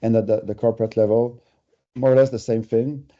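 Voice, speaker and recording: A man's voice: medium pitch, fairly even intonation, brisk, mellow, muffled recording. A man with a French Canadian accent, giving a conversational, explanatory webinar presentation with everyday examples. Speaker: company presenter.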